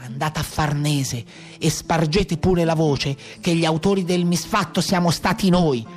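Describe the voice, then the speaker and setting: A man's voice: on the high side, a little slow, faint music behind, voice pushed down, natural voice. A man playing a proud, menacing Tuscan brigand telling his story bluntly in a theatrical monologue. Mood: menacing, cold. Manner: colloquial, blunt, boastful telling. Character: old-time Maremma brigand, proud, defiant, menacing storyteller.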